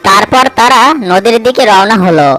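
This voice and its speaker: A woman's voice: mid-range voice, expressive, moderate pace, fairly bright tone, faint music behind, low-quality recording. A woman narrating a scripted children's cartoon story.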